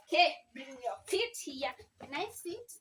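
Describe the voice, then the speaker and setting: A woman's voice: high voice, big pitch swings, unhurried, a bit bright. A woman speaking as a mother and teacher in an informal instructional vlog, explaining things in simple phrases. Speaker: mother teaching her children to read. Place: at home. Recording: distant mic, faint background noise.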